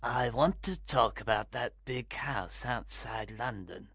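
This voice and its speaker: A man voicing a sly old male thief master who talks in a raspy, hushed, conspiratorial way. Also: mid-range voice, slow pace, no echo, studio quality, exaggerated voice. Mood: scheming. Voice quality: raspy, whispery.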